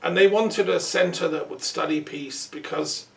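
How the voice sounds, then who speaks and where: A man hosting a relaxed interview, talking in a thoughtful, rambling way with asides. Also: on the high side, unhurried, vocal fry. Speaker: host. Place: peace museum building. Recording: roomy sound from a distance, faint noise.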